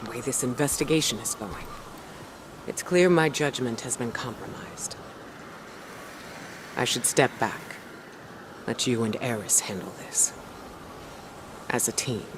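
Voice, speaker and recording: An actress playing a proud, composed mentor woman who speaks gravely. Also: rumbling low, unhurried, pauses often, background noise. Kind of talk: video game dialogue.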